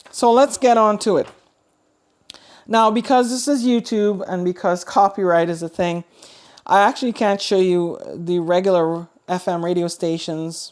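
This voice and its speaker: A woman's voice: fairly low pitch, darkish tone. A woman giving a casual, chatty product review, thinking aloud as she demonstrates. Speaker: host of a radio review channel.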